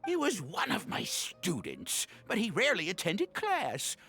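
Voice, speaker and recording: Someone voicing a cranky old prof, huffy and theatrical, big swings in pitch, game music under it. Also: wildly swooping pitch, measured pace, bright, forceful tone, breathy edge, full character voice. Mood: aggrieved. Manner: theatrical outbursts, exclaiming. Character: indignant old male professor, fussy and proud. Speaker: video game character. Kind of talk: video game voice acting.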